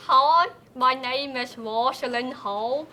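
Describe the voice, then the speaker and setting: Slow, higher-voiced young woman. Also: pushed and bright, a little hiss or hum. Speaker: interviewee. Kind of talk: personal storytelling.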